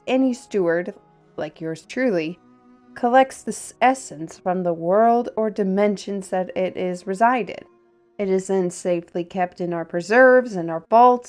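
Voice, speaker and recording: A woman's voice: mid-range voice, darkish tone, close to an everyday voice. A proud and chatty female magical steward, acted by a woman in a fantasy audio play. She speaks in a mid-range voice with a theatrical, opinionated manner, spinning out long wordy thoughts. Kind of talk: fantasy audio roleplay monologue.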